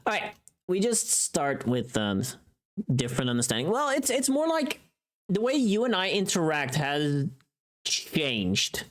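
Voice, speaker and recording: A woman's voice: low-pitched, very animated, unhurried. A woman livestreamer chatting casually in a playful, teasing, informal way, often thinking aloud and trailing off.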